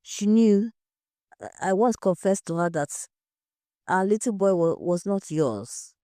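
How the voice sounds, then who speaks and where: A woman's voice: medium pitch, animated, unhurried, warm tone, no echo, clean studio sound, lightly acted. A woman voicing a tearful, guilt-ridden wife in an audio story, pleading and faltering as she confesses. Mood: anguished confession. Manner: wailing, pleading, faltering repetitions.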